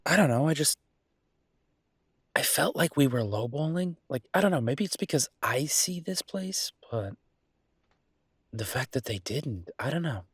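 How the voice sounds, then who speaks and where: A man playing an earnest, down-to-earth chef boyfriend in an audio roleplay, talking casually and a little hesitantly in a husky voice. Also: medium pitch, lively intonation, a little slow, pauses often, no room sound, studio quality. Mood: unsure, grateful. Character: enthusiastic down-to-earth chef boyfriend opening a mountain restaurant. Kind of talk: audio roleplay acting, casual one-sided conversation.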